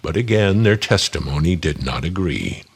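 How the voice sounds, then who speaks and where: Male Bible narrator, very low and slightly raspy, measured, expressive dramatic delivery.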